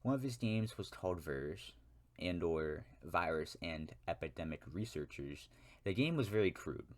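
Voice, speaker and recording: A young man with an American accent and a very low-pitched voice talks straight to his viewers. He rambles through a personal rant, stops to correct himself, and drops wry asides as he goes. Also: animated, normal speed. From United States. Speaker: YouTuber. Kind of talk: ranting solo monologue.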